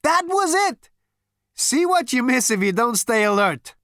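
A high, manic alien hipster. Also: male voice, animated, unhurried, fairly bright tone, dry recording, clean studio sound, exaggerated voice. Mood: excited. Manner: exclamatory, slangy, cocky. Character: brash, manic two-headed alien hipster man, cocky, excitable. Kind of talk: audiobook character voice.

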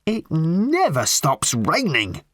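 A man's voice: mid-range voice, big pitch swings, unhurried, a bit bright, no echo, clean studio sound. A man voicing a gloomy, indignant lorry driver who complains emphatically in an audiobook story. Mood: ranting. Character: ranting aggrieved gloomy male lorry driver.

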